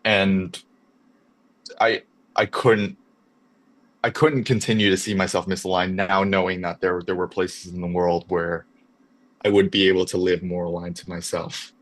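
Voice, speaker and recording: Canadian guy, deep voice, musing slowly on life, lots of restarts. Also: level tone. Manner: reflective, with frequent restarts. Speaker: podcast guest. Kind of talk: casual conversation.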